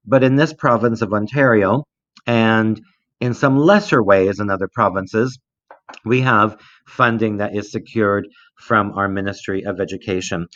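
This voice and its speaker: A middle-aged man giving an informal online presentation as an education director, explaining things in a measured, hesitant way. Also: on the low side, a bit bright. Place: indoors, recorded remotely for an online conference. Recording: roomy, dull lo-fi online recording.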